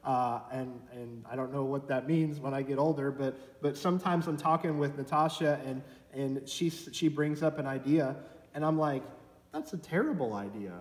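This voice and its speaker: Chatty young pastor in an echoey church. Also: male voice, medium pitch, normal speed. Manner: conversational, self-deprecating, gestures broadly. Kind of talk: sermon. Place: church sanctuary at a pulpit. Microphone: far camera. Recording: echoey church with long reverb.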